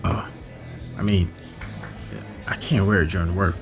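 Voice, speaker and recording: A flirtatious yet sheepish guy in a horror audio drama. His voice is deep and creaky, with casual, hesitant phrasing. Music runs behind him. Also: fairly even intonation, unhurried. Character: married male hospital worker, flirtatious, sheepish.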